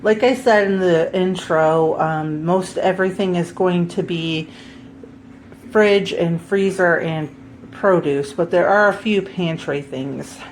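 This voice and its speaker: Woman, stuffy head cold, slow unhurried talk, slightly low voice, casual home vlog, faint background hum. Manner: chatty, with many ums and self-corrections. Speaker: homesteading vlogger. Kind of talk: casual grocery haul vlog.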